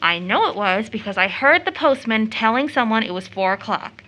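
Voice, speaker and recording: Bright, high-voiced, gushing girlish daughter. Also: expressive, moderate pace, low-quality recording. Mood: playful, gushing. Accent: American. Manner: effusive, teasing, girlish, theatrical phrasing. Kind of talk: stage-style period audio drama acting.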